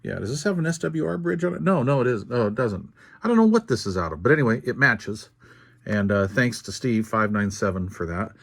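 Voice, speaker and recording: An older American man casually narrating a hands-on repair in a folksy, unhurried way, thinking aloud as he goes. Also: mid-range voice, very animated, gravelly. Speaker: radio repair video host.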